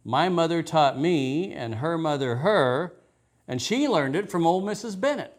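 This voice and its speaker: Man reading as thoughtful, calming old grandmother. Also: fairly high pitch, a little slow. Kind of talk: rhyming children's storybook.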